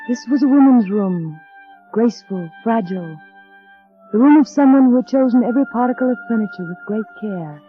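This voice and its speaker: An actress playing a shy, gentle young bride in a 1930s radio drama, polite and wistful. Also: female voice, a little high, expressive, a little fast, close to an everyday voice. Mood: wistful, narrating. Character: shy, gentle, nervous young bride narrating her story. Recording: dull, muffled vintage radio broadcast, music.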